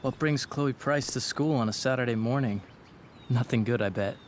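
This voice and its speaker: A man's voice: mid-range voice, moderate pace, a little hiss or hum, close to an everyday voice. A man playing an earnest, hopeful teenage boy, friendly and a little tentative, in a video game cutscene. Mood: curious, wry.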